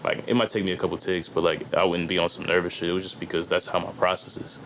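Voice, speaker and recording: A man's voice: deep voice, little pitch movement, fast, gravelly, a bit bright, slight background noise. A young man, a guest rapper on a podcast, talking in a loose, rambling way full of slang and fillers. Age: young adult. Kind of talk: casual chat.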